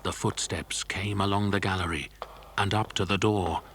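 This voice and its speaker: A man's voice: low-pitched, steady tone, moderate pace, fairly bright tone, slight background noise. A man narrating a scripted children's adventure story.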